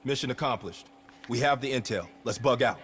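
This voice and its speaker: An actor playing a blunt, sardonic, foul-mouthed American special operations soldier in a military game. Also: male voice, mid-range voice, brisk, fairly bright tone, a little hiss or hum, lightly acted. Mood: wry, businesslike. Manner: blunt, incredulous, profane. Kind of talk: cinematic military game acting.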